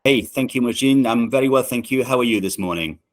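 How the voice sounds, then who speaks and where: A middle-aged man from Italy softened by years in Britain, answering interview questions in a measured way many pauses and fillers. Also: medium pitch. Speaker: management consultant and guest. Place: podcast studio.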